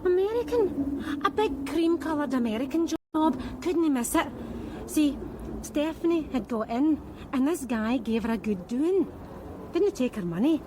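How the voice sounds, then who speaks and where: A woman's voice: sits high, lively intonation, unhurried, background noise. An actress with a Scottish accent playing a blunt, wry woman who works the streets, in a radio drama. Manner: blunt, wry, matter-of-fact. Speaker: street sex worker questioned by police.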